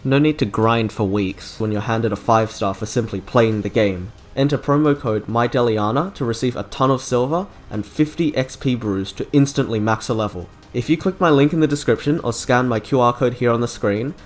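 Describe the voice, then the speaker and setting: A man's voice: mid-range voice, normal speed, few pauses, soft background music. A man narrating a scripted video essay as its host.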